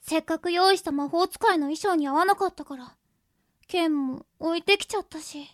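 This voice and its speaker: A woman playing an earnest, easily flustered young noble girl in an anime-style radio drama, with a girlish, expressive delivery. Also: very high-pitched, moderate pace, no room sound, studio quality. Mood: worried, sighing. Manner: girlish, expressive, anxious stammering.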